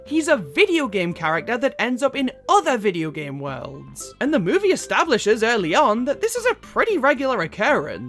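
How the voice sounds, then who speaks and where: A woman's voice: medium pitch, a bit bright. A woman narrating a scripted video essay as host, with a wry, casual manner. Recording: music playing behind. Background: background music.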